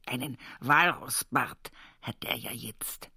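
An actress playing an earthy rural daughter reminiscing at her mother's sickbed in a radio play monologue, intimate and halting, with an Upper Palatinate Bavarian accent. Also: extremely low pitch, vocal fry, sharp tone, husky, dry recording, studio quality, heavily acted. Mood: wistful musing.

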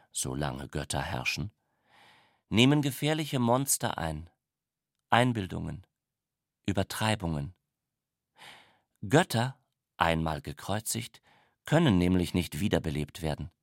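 A man narrates scripted literary prose in a fairly low voice. His delivery is measured and formal, recorded very dry and close to the ear, as in a radio drama. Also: sing-song, no echo.

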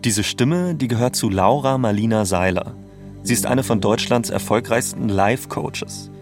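A man's voice: mid-range voice, normal speed. A man co-hosting a podcast, reading scripted narration. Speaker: podcast co-host. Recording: music playing behind the voice. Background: music.

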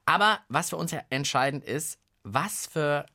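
Animated man co-hosting. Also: a little high, measured pace. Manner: colloquial, animated, jokey. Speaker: co-host of children's science podcast. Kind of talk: casual playful chat.

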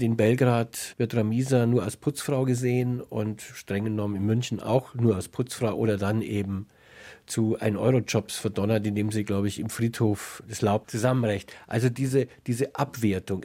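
A man interviewing on the radio about literature. His voice is mid-pitched and mellow, and he frames his questions in a thoughtful, analytical manner.

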